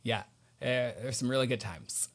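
A man's voice: mid-range voice, normal speed. A man hosting a podcast in a casual chat, supportive and encouraging toward the person he talks with. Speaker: podcast host.

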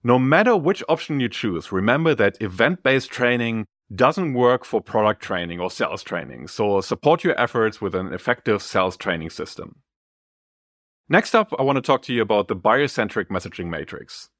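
A man reading a scripted business training article as narrator, in a clearly articulated, instructive way. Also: fairly low pitch, big pitch swings, normal speed, fairly bright tone, no echo, studio quality.

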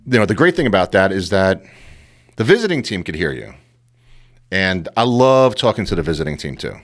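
A low-voiced man tells a story in a casual chat. He is animated and keeps dropping "you know" as he goes, and he acts out the voices of the people in his story. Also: fairly quick, soft background music. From Queens, New York. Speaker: guest.

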